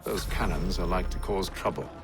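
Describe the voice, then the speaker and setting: An actor with a British accent playing a composed, menacing gentleman spy in a video game, speaking in a measured, dry, formal way. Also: low-pitched, noisy background. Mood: calculating. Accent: British English. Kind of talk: video game character acting. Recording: music behind the voice.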